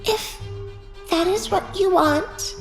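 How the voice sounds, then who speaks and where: A woman's voice: very high voice, slow pace, pitched above the performer's own voice, exaggerated voice. A woman voicing a timid, earnest young maid in an audiobook drama. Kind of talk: audiobook drama character acting. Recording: echoey, music behind.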